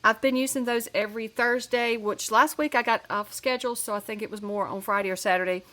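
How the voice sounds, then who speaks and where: A woman's voice: on the high side, steady tone, fast talker, pushed and bright. A woman with a head cold, chatting casually through a crafting tutorial with lots of fillers and restarts. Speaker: crafting channel host.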